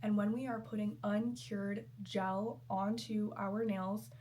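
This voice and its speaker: A woman's voice: medium pitch, little pitch movement, slow, dark tone, slight background noise, poor audio. A young woman, a nail technician, explaining step by step in a friendly way while sick and a little nasal. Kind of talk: explanatory tutorial talk.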